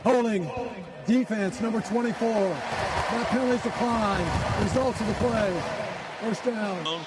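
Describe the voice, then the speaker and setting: A man's voice: sits high, slow, a loud crowd. A man refereeing football, making terse, official penalty announcements over a stadium PA system. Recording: distant stadium PA under loud noise.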